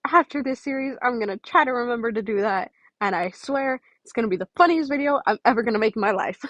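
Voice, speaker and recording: A woman's voice: fairly high pitch, expressive, quick pace, a bit bright, dry recording, highs cut off. A woman reading a story aloud and chatting casually to listeners as a podfic reader.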